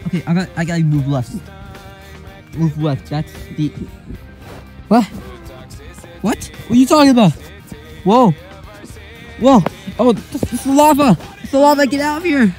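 A man voicing a panicked young plush-toy hero who shouts and yelps. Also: lively intonation, normal speed, pauses often, soft background music. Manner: shouting, high-strung yelps.

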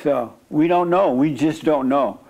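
A middle-aged man preaching in a blunt, declarative way, answering questions from his seat on a studio stage. His voice sits a little higher than most men's. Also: creaky, a little mellow. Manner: blunt, preachy, quick asides and mock-prayer voices. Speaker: pastor. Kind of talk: sermon-style Q&A with audience. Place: dark studio set, seated by small table.

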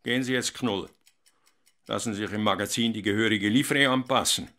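An actor playing a haughty hotel general director in a radio play, curt, condescending and commanding. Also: male voice, lowish voice, a little fast, bright, forceful tone. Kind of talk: German radio play acting.

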